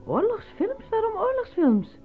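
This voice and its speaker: An actress in a Dutch radio play voicing a worn-out working wife who speaks plainly and reproachfully. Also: female voice, very high voice, sing-song, a little slow, heavily acted. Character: nagging, worn-out working wife in a dystopian city. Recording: dull lo-fi radio recording, faint music.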